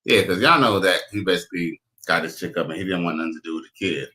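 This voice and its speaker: A man with a very deep baritone gives loud, crude, slang-heavy reaction commentary. His voice is low yet bright and forceful. He hosts the talk in a casual way. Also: fast. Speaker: commentary host.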